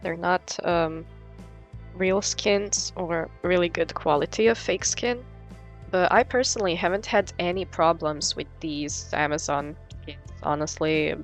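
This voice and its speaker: A woman's voice: lowish voice, unhurried, faint music behind. A young woman narrating a casual vlog in an informal, sweary way. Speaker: vlogger, artist.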